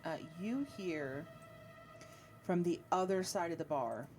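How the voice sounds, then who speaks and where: A woman's voice: fairly low pitch, slow, over music. A woman working as game master, narrating scenes and putting questions to players in an informal way. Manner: informal, addresses players as "you guys". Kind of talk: narrating and refereeing a tabletop roleplaying game.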